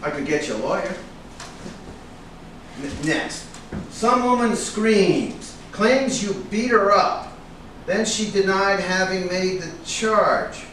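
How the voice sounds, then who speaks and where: A man playing a pushy, fretting talent agent in a staged reading, with halting, deliberate delivery. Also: high voice, fairly bright tone. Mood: accusing, worried. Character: pushy, fretting male talent agent, accusing then flattering. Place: room at a live staged reading. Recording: echoey room, distant mic, noisy.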